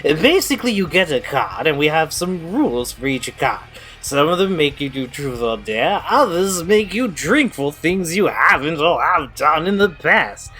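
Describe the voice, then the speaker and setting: A man's voice: on the high side, big pitch swings, moderate pace, hardly any pauses, bright, forceful tone, faint music behind. A man playing a drunk, eager college partygoer in an audio drama, rambling and hesitant. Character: really drunk, eager college-age male partygoer explaining games.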